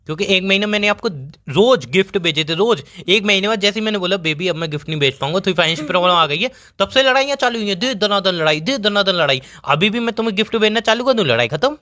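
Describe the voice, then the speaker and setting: Guy acting boyfriend in a comedy phone fight, talks super fast, sharp high voice, whiny complaining and teasing. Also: animated. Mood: teasing grumbling. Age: 20s. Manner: rambling, complaining, dramatic storytelling. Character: exasperated, teasing young boyfriend bickering with his girlfriend. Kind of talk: acted phone-call comedy between lovers.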